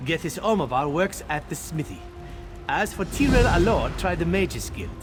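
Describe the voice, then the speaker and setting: An actor playing a blunt, weary warrior turned town official in a fantasy video game, dry and officious. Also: male voice, on the high side, big pitch swings, moderate pace, music in the background. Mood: wry, businesslike.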